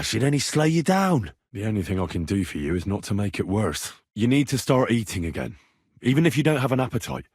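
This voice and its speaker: Earnest medieval healer, lively low-pitched delivery. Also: male voice, breathy edge, dry recording, studio quality. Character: earnest young medieval man tending the sick, reassuring and practical. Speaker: voice actor in a video game. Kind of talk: video game character dialogue.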